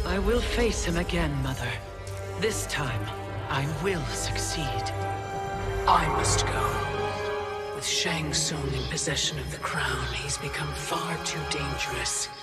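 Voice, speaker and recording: A male actor playing a proud, brooding warrior-leader in a video game, speaking in a solemn, deliberate, formal way. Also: mid-range voice, close to an everyday voice. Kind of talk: video game cinematic acting. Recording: loud cinematic score behind.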